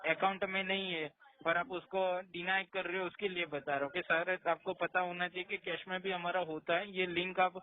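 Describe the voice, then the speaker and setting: A man's voice: sits high, level tone, normal speed. A man working as a loan collection agent, explaining insistently and repeating himself on a phone call. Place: call centre, on a phone line. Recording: tinny, band-limited phone call recording.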